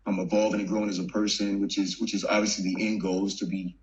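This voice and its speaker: Laid-back low-voiced man with softened Southern accent. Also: fast talker, muffled recording. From Virginia. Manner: relaxed, laughs often, many fillers and restarts. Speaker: actor and former football player, guest. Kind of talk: casual interview chat. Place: home, remote video call. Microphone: video call.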